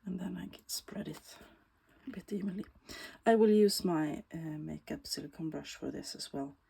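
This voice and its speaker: A woman with a Swedish accent, Swedish native, talking through a bookbinding tutorial in a slow, thoughtful way that often drops to a soft whisper while she works. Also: low-pitched, gravelly, slightly rough. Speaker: bookbinding crafter. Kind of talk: casual craft tutorial.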